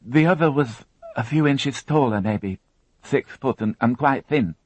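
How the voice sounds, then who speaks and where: Man putting on a raised voice for a tearful young woman, hesitant, halting, dry close sound. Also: medium pitch, no echo, voice pushed up, close to an everyday voice. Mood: shaken. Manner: hesitant, halting, repeats words. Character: shaken young woman, crime witness.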